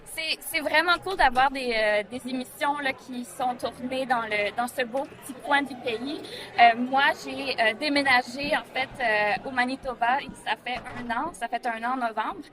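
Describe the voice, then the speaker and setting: Woman, somewhat high pitch, Canadian French accent, casual, halting, English expressions, actress being interviewed. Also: steady tone, a crowd in the background, rough recording. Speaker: actor interviewed.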